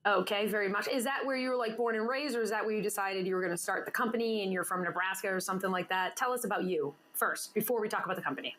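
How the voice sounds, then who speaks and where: A woman's voice: medium pitch, racing, hardly any pauses, a bit bright. A woman hosting an interview show, bubbly and teasing, laughing often as she banters with her guest. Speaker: podcast host. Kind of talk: casual interview chat.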